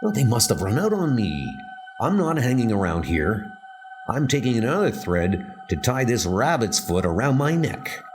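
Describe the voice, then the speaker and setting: A man's voice: mid-range voice, very animated, normal speed, over music, close to an everyday voice. A man playing a scheming, tough-talking escaped convict in a horror comic dub. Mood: grumbling.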